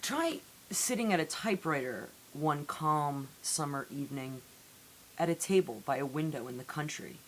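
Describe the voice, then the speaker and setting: A woman reading poetry aloud in a solemn, deliberate way with measured phrasing and dramatic pauses, on an amateur recording. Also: low voice, expressive, rough recording. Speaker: poetry reader. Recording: amateur recording with audible hiss.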